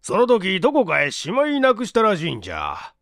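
Storybook old male scientist, acted by a man: short-tempered, imperious, barking, very animated high delivery. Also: fairly quick, dry recording, studio quality, full character voice. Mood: troubled, confiding. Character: irascible, domineering old male scientist, gruff and suspicious.

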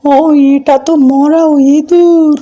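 A man voicing a slow, drawn-out royal minister in a folk tale cartoon. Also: very high voice, flat delivery, dark tone, highs cut off. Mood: reassuring, insistent. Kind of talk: cartoon voice acting.

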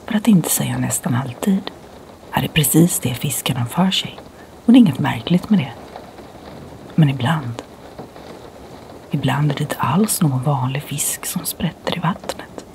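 A middle-aged woman from Sweden with a Swedish accent, whispering ASMR stories softly and slowly in her home library. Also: low voice, a little hiss or hum, rough recording. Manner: soft, slow whisper. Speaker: ASMR creator and storyteller.

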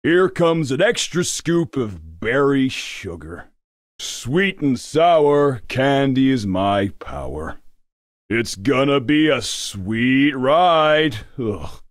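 A man voicing a grumpy, sarcastic unicorn candy-shop worker in a video game, with a deadpan, theatrical manner. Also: mid-range voice, takes it slowly, no room sound, studio quality. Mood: mischievous. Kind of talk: video game character voice lines.

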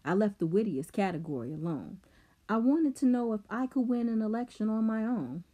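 A woman's voice: mid-range voice, lively intonation, normal speed, mellow, voice pushed up, lightly acted. A woman voicing a clever, scheming teenage boy who talks back coldly. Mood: defiant.

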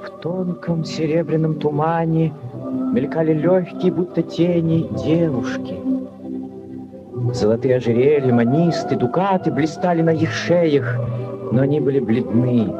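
An actor as the storyteller of an eerie folk tale, narrating expressively in 1940s radio drama style. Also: male voice, on the high side, unhurried, natural voice. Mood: hushed, lyrical. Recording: dull 1940s radio recording under loud music.

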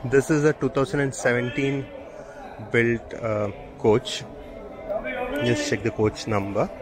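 A man's voice: mid-range voice, takes it slowly, a crowd in the background, rough recording. A man giving casual, chatty commentary about the trains he passes while riding in a train, filmed on a handheld camera. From India. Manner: chatty, pointing things out with fillers. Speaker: train travel vlogger. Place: on a moving train by an open door. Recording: train noise behind.

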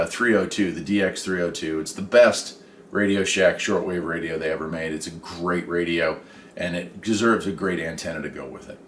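A middle-aged American man talks casually through a hands-on demonstration in a lowish, folksy voice. He sounds some distance from the mic, in a very echoey room. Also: slight background noise. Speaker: host. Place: indoor home workshop and garage.

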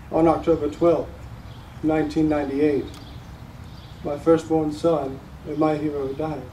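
A young man playing a solemn, resolute grieving father addressing a court in a stage monologue, with heavy pauses. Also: on the high side, dark tone, lightly acted. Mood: solemn grief. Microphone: distant camera microphone. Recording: roomy, noisy, recorded from far off.

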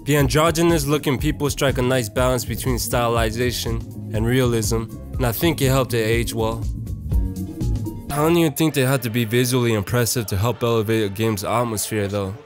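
A man's voice: mid-range voice, a little fast. Casual young man over faint music. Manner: irreverent, profane, conversational. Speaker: video essayist. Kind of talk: casual, slangy video essay narration.

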